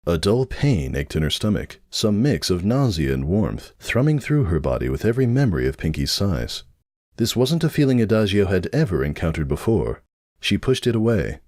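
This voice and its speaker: Male narrator, deep pitch, lively intonation, dramatic story reading, dry close sound. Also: no echo. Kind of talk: dramatic fan fiction narration.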